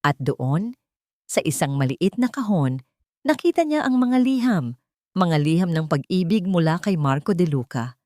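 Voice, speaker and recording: Lower-voiced woman narrating a dramatic story. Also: big pitch swings, moderate pace, darkish tone, no room sound, clean studio sound.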